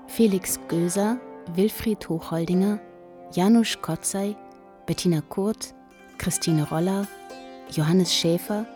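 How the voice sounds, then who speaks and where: A woman's voice: on the low side, mellow. A woman reading formal scripted radio credits as an announcer.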